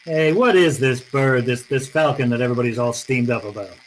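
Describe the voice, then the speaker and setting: An actor playing a tough, wisecracking hard-boiled detective in an old-time radio drama, curt, sardonic and slangy. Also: male voice, medium pitch, a little fast, slight background noise, lightly acted, rough recording. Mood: suspicious, pressing.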